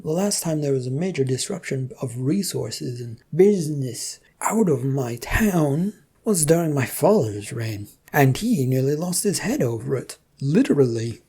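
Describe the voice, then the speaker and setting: A woman's voice: rumbling low, expressive, unhurried, few pauses, mellow, slightly rough, full character voice. A woman voicing a pompous, fretful male king in an audio storybook, blustering and dithering. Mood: fretful, self-important. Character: flustered, pompous, fretful male king, self-absorbed bureaucrat.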